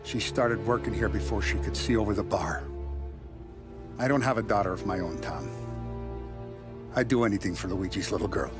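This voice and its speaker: An actor playing a middle-aged mob boss in a game, paternal but ruthless, speaking with deliberate authority. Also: male voice, on the low side, music in the background, close to an everyday voice. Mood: grave, protective. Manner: deliberate, authoritative, giving orders. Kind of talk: dramatic video game acting.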